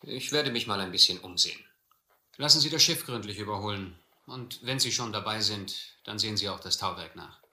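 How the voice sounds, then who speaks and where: Shrewd sea captain, bright low voice. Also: male voice, fairly quick, reverberant. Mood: suspicious. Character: shrewd, suspicious male sea captain in the South Seas. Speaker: actor playing captain and first-person narrator. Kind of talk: German radio adventure drama acting.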